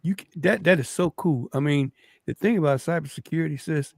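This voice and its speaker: A man on a casual talk show panel, relaxed and asking questions. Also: on the high side, brisk, vocal fry, no room sound, studio quality.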